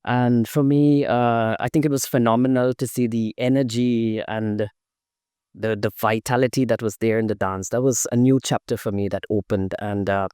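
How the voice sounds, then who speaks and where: A man's voice: mid-range voice, fairly even intonation, moderate pace, mellow, clean tone, dry recording, clean studio sound. A middle-aged man from Guyana, a dancer and interview guest, telling his story thoughtfully with frequent fillers and restarts. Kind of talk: conversational interview answers.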